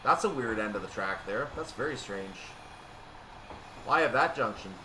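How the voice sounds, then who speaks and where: Male gaming host, mid-pitched, thinking aloud through his play, recorded off-mic with clear background hum. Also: moderate pace, far from the mic. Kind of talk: unscripted gameplay commentary on a train simulator. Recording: roomy, off-mic, steady hum and rumble.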